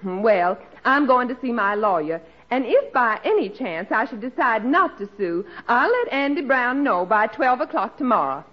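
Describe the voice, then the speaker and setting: Animated determined wronged woman, stern, highish pitch, old radio comedy. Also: normal speed, few pauses. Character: stern, aggrieved, determined woman threatening to sue. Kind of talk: 1940s radio comedy acting. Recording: muffled old radio, studio audience.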